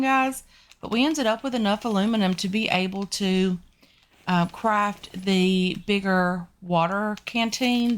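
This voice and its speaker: A woman's voice: mid-range voice, a little hiss or hum. A woman with a Southern US accent hosting a gaming video, congested from a sinus infection, chatting casually to her viewers with frequent ums. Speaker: gaming channel host. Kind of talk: casual game commentary.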